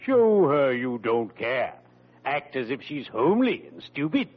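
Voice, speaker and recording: An actor playing a friendly, morbid undertaker in an old radio comedy, with a solemn, pompous deadpan. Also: male voice, medium pitch, unhurried. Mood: grandly morbid. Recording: muffled vintage radio recording.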